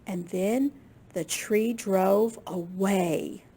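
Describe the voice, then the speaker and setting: A woman with a slightly husky, gravelly voice reading a picture book aloud to young children in an expressive way. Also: mid-range voice, very slow. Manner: expressive, with gasps and questions to young listeners. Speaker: children's librarian storyteller.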